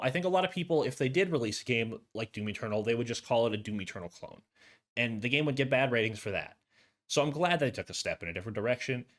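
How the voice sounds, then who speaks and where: A man with a hoarse voice giving a casual, rambling review of a video game as a YouTuber, full of fillers and restarts. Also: on the low side, fairly bright tone. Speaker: gaming YouTuber. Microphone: USB condenser desk mic.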